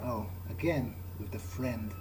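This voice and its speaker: A man role-playing through a video game, thinking aloud in character in a low-key, hesitant way. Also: unhurried, background noise. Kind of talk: in-character musing during gameplay. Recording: music behind the voice.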